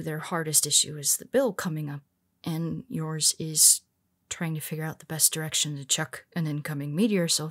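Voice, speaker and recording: Low-voiced, conversational superhero woman, acted out. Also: fairly bright tone. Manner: conversational, halting, hesitant asides. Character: powerful flying female superhero, blunt, flustered, secretly vulnerable. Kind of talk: intimate audio roleplay monologue.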